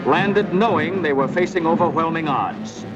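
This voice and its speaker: A man's voice: a little high, moderate pace. An American man narrating a wartime documentary from a script in a dramatic, emphatic and solemn 1940s style. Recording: muffled 1940s film soundtrack, music behind.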